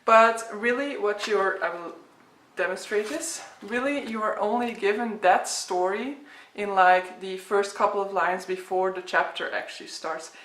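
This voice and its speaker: A woman's voice: medium pitch, a little slow, fairly bright tone. Chatty Flemish woman in her thirties. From Belgium. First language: Dutch. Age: 30s. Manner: chatty, rambling, frequent fillers. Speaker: book vlogger. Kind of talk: casual chat to camera. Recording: echoey room.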